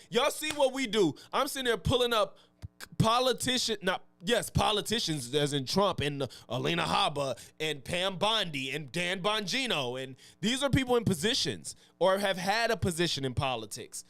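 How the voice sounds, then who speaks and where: A man's voice: sits high, a bit bright, no echo. A man doing live reaction commentary in a heated, profane, slangy manner. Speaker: political commentary streamer.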